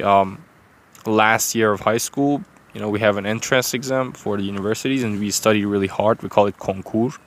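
A young man with a Persian accent, talking unscripted to a phone camera in a rambling, halting way full of fillers and restarts. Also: lowish voice, a bit bright. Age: young adult. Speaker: vlogger.

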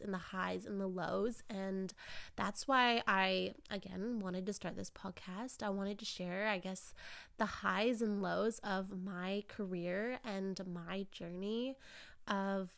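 A woman hosts a casual solo podcast in an informal, effusive way, slightly nasal and raw-throated from a cold. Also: medium pitch. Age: early twenties. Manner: informal and effusive, peppered with "like" and "you know". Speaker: podcast host.

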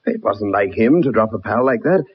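An actor playing an earnest, persistent ex-soldier in a radio drama, polite but insistent as a troubled, loyal friend. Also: male voice, on the low side, lively intonation, normal speed, slightly rough. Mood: troubled, recounting. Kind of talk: 1960s radio drama acting, first-person narration. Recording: muffled old radio broadcast recording.

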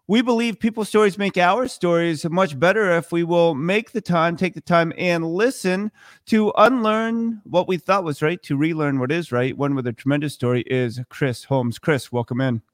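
A man in his forties hosting an interview podcast, reading a measured scripted intro and then asking direct questions. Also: on the high side, no room sound, studio quality. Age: 40s.

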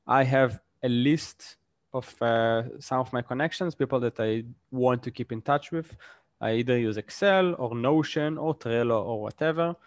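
A man's voice: mid-range voice, normal speed, no room sound, studio quality. A man, a guest in a casual interview, explains his points with examples and often checks in with "right?".

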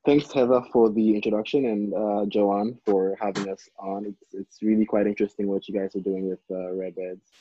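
A man from Ghana with a husky voice, speaking as a podcast guest in a measured, hesitant conversational way. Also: lowish voice, fairly even intonation, slight background noise. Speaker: podcast guest, startup CTO. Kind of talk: conversational self-introduction. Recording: dull lo-fi, dry recording.

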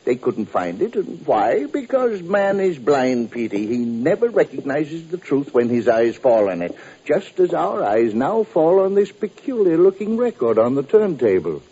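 A man's voice: fairly high pitch, big pitch swings, measured pace, warm tone, slightly rough, full character voice. A man acting a bookish, long-winded, unflappable sidekick in an old radio drama, with an ornate, theatrical way of talking. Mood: musing, pedantic. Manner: ornate, theatrical, leisurely wordy. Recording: muffled old radio recording.

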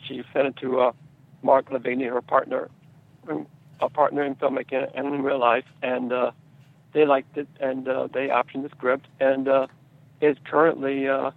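Male screenwriter guest, halting delivery with fry, muffled low-fidelity recording. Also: little pitch movement, no echo. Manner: halting, repeats words and phrases. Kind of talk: conversational interview answers.

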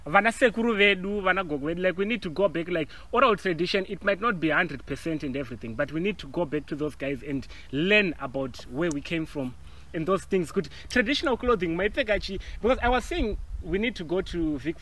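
A man with a Zimbabwean accent, talking earnestly on a casual podcast and mixing Shona into his English. His voice is bright and pitched a little high. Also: animated, a little fast. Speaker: podcast co-host.

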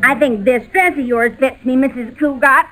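Actress as high-voiced, fretful radio-comedy maid. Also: female voice, moderate pace, loud music behind the voice, lightly acted. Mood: earnest, flustered. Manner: fretful, earnest, naive. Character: plump, anxious, excitable, deferential maid. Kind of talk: 1940s radio sitcom acting.

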